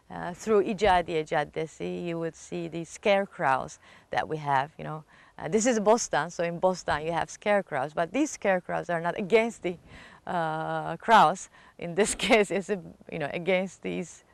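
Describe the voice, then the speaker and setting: A woman's voice: fairly low pitch, gravelly, no room sound. A woman with a Turkish accent speaking earnestly in an interview as a local resident. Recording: background ambience, noisy location sound.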